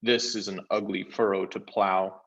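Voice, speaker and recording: A man's voice: lowish voice, level tone, unhurried, fairly bright tone, dull audio. A man voicing a grave, decisive young king in a storybook reading.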